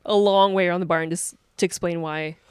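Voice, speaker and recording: A woman's voice: lowish voice, fairly even intonation, fast, clear, resonant tone, dry recording, clean studio sound. A woman co-hosting a podcast in casual chat, chatty, rambling and playful. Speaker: podcast co-host.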